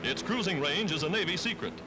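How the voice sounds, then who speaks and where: A man's voice: a little high, lively intonation, fast talker, noisy background. An American man narrating a 1940s newsreel in an emphatic, dramatic announcer style, on an old film soundtrack.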